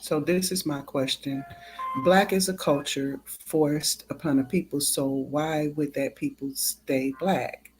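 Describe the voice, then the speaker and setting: Slow, halting livestream host of unclear sex. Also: medium pitch, fairly even intonation, faint music behind, low-quality recording. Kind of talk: casual livestream talk.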